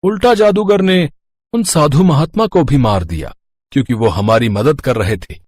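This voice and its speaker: A man's voice: mid-range voice, lively intonation, normal speed, darkish tone, dry recording, lightly acted. A man voicing a worried, kindly older merchant and town elder in a children's cartoon story, explaining earnestly in a fatherly way.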